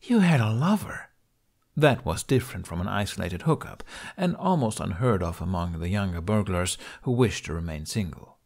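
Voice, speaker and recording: A man narrates a story in a fairly low voice. His delivery is expressive and scripted, with pitch that moves around a lot as he tells the tale. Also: moderate pace, gravelly. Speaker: audiobook narrator.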